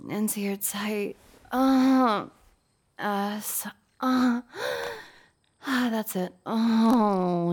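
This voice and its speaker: A woman playing a dominant, teasing boss in an intimate adult audio roleplay, breathy and often dropping into whispers. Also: mid-range voice, darkish tone, full character voice. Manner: breathy delivery with sighs and drops into whispers.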